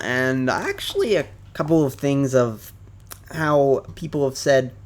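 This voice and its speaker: Young male youtuber, unhurried, stumbling rambling delivery, middling pitch. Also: darkish tone. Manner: hesitant, many ums and self-corrections. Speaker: anime commentary youtuber. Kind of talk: casual rambling monologue.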